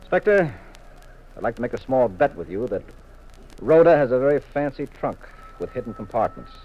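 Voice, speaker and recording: An actor playing a confident, teasing American husband detective in a 1950s radio mystery, with a wry, assured manner. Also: male voice, mid-range voice, big pitch swings, fairly quick, slightly rough. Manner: wry, assured, leading questions. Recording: muffled vintage radio recording.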